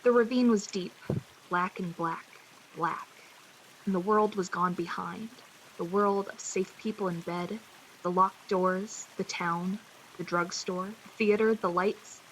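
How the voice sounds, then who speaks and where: A woman playing the narrator of a suspense radio play, speaking in a measured, dramatic storytelling style with a slightly husky voice. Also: mid-range voice, fairly even intonation, slight background noise, close to an everyday voice, low-quality recording. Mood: tense, hushed.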